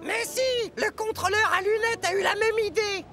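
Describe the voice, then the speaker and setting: A woman's voice: very high voice, normal speed. A woman voicing an upbeat, decisive small locomotive in a children's cartoon. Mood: earnest. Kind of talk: children's cartoon dub acting. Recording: music behind the voice.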